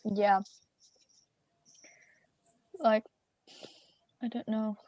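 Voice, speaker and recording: A woman's voice: mid-range voice, fairly even intonation, very slow, no echo, lo-fi sound. A teenage woman co-hosting a podcast, chatting casually, teasing and laughing often. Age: late teens. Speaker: podcast co-host. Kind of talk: casual chat.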